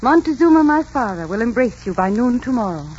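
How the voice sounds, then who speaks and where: An actress playing a gentle young Aztec princess, formal and earnest, in a 1940s radio romance. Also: female voice, a little high, sing-song, brisk. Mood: gracious, earnest. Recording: dull, hissy old radio recording.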